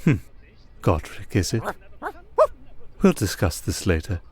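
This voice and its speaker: A man playing a commanding vampire archduke in a fantasy roleplay, measured, dry and authoritative in manner. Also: sits low, very animated, darkish tone, heavily acted. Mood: composed authority. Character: commanding, dryly exasperated male vampire archduke. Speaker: lead voice actor.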